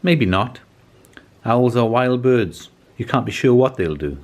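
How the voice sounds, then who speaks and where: A man voicing a kindly, patient old grandpa in a storybook, knowing and gently teasing. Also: mid-range voice, animated, unhurried, voice pushed up. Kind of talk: storybook character voice.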